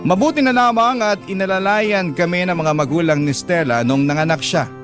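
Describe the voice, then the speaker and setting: Actor as higher-voiced reflective young Filipino storyteller. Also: male voice, moderate pace, music in the background, natural voice. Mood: reflective, regretful. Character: earnest young father recounting his hardships. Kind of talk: first-person radio drama narration.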